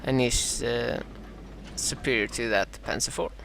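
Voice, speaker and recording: A man from Sweden with a Swedish accent and a dry throat, casting his own strategy game match in a halting way, full of "uh"s and self-corrections. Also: mid-range voice, creaky, background noise. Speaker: gameplay commentator.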